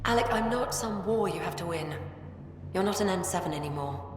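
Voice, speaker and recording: A woman's voice: on the low side, animated, a little fast, faint music behind, lightly acted. A woman playing a resigned, frank scientist mother in a video game drama. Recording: roomy hall echo, faint noise.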